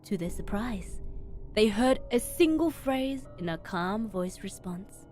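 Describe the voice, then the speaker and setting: A woman streamer reading a horror story aloud in expressive, dramatic narration with emphatic pauses. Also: medium pitch. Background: background music.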